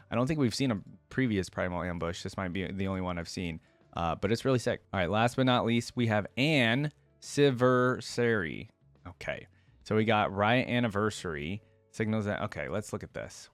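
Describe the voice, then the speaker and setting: A man's voice: lowish voice, normal speed, no echo, faint music behind. A man giving casual reaction commentary as a video host, thinking aloud in informal, slangy language.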